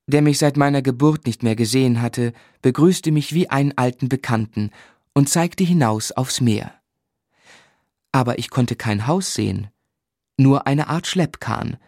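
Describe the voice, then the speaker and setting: An actor narrating a radio play as a grown man gently and reflectively recalling his boyhood, in measured literary style. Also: medium pitch, dry recording, clean studio sound, natural voice. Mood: fond.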